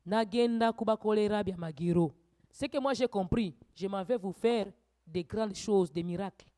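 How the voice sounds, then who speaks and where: A woman's voice: medium pitch, moderate pace. Woman preacher exhorting a congregation. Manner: preaching with rising, shouted exhortations and quieter asides. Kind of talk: sermon teaching. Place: church.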